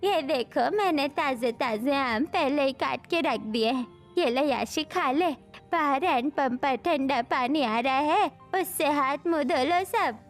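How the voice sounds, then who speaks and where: A woman playing an affectionate, mildly scolding old village grandmother in a Hindi animated story. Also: high-pitched, measured pace, hardly any pauses, soft background music.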